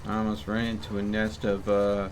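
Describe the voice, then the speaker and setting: A man's voice: lowish voice, completely flat delivery, normal speed, slight background noise. A man giving casual live commentary as he streams a game, musing to himself as he goes.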